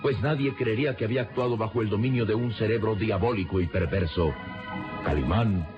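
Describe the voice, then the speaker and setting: A man's voice: fairly low pitch, brisk, music in the background. A man narrating a scripted radio serial in a solemn, theatrical style. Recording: muffled lo-fi radio recording.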